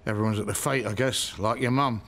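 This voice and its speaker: A man's voice: lowish voice, fairly even intonation, fairly quick, a bit bright. An actor playing a grumpy, suspicious middle-aged British father, a former policeman, in a radio soap. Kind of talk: naturalistic radio soap acting.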